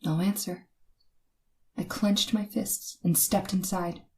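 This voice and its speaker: A woman's voice: fairly low pitch, fairly even intonation, takes it slowly, dark tone. A woman playing a frightened woman motorist in an old-time radio horror drama, with tense, suspenseful, dramatic delivery. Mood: tense, ominous. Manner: dramatic, breathy gasps and hesitant stammers when afraid. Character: frightened young woman motorist, tense, narrating her terror. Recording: noisy recording with ambient effects.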